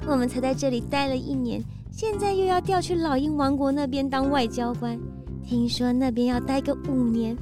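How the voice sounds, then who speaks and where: A woman playing an earnest, expressive female animal character in a children's mystery audio drama, speaking in an exclamatory way. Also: high-pitched, moderate pace, music in the background, lightly acted. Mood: fretful.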